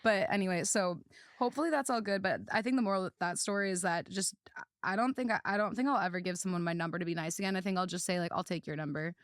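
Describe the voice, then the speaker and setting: A woman's voice: on the low side, quick pace, no room sound, clean studio sound. A woman podcast host telling personal stories in casual, rambling chat, often quoting herself and others.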